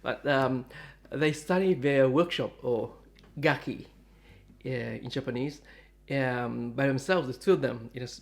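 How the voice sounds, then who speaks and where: Fifties male host, rambling and friendly, mid pitch, lots of fillers. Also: gravelly. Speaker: guitar dealer and channel host. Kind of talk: casual chat to camera. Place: home in Melbourne, Australia.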